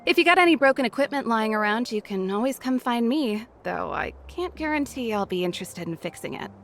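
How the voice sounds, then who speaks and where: A woman's voice: on the high side, expressive, a little fast, fairly bright tone, slight background noise. A woman voicing a self-assured young female mechanic in a video game, casual, teasing and nonchalant. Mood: breezy, teasing.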